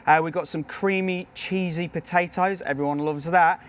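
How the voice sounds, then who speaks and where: A British man with a fairly high voice talks through a cooking demonstration as he works. He is a professional chef, upbeat and chatty, showing each step at the grill. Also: fairly even intonation, moderate pace.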